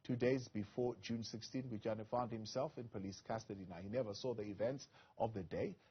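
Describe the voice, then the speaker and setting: Male news anchor, husky voice, dull lo-fi broadcast sound. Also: fairly low pitch, steady tone, a little fast. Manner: scripted intro read with "uh" fillers and restarts. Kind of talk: evening news interview. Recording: lo-fi broadcast audio.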